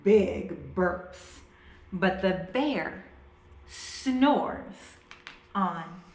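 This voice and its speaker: A woman reading a picture book aloud to young children, with deliberate, dramatized phrasing and drawn-out words. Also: lowish voice, animated, a little hiss or hum. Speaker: children's storyteller. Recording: echoey room.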